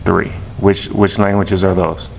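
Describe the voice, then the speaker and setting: Teacher guy asking a student questions, kinda low voice, repeats answers, muffled recording with a faint rumble. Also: little pitch movement, a little slow, gravelly. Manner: patient, repeats the answers back. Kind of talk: classroom interview.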